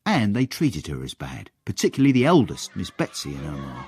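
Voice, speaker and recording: An actor playing a cheeky manservant who narrates a period radio comedy in a wry, florid, relishing way, with literary airs. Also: male voice, low voice, wildly swooping pitch, a little fast, music in the background, heavily acted. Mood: confiding.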